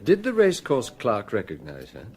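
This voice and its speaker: An actor playing a shrewd, genial police chief in a British radio crime drama, brisk, commanding and probing. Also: male voice, lowish voice, wildly swooping pitch, darkish tone.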